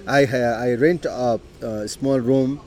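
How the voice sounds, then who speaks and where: A middle-aged man with a Nepali accent, telling his life story in an unhurried way, with frequent "you know" and throat clearing. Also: medium pitch, steady tone, darkish tone. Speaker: interviewee. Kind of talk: personal life story told in conversation.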